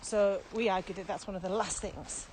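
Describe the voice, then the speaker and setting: British woman, podcast expert. Emphatic, conversational explainer, mid-pitched, some ambient noise. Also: steady tone, normal speed, darkish tone. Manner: emphatic, repeats key phrases, poses rhetorical questions. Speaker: podcast co-host and virtual training expert.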